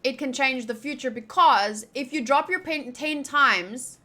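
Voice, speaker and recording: A young woman gives casual commentary on a game as she plays. Her voice is bright and fairly high. She is chatty and playful, moving between reading dialogue aloud and rambling asides. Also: measured pace. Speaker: streamer.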